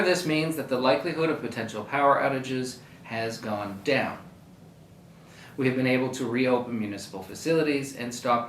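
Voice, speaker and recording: A man delivers a prepared public update as a mayor, measured and plain-spoken with deliberate pauses. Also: a bit bright. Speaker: mayor giving a public address. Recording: echoey room with faint low rumble.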